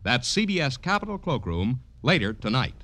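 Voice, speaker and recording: A man's voice: mid-range voice, sing-song, moderate pace, heavy background noise. An American man reading scripted announcements in the formal, polished style of 1950s radio.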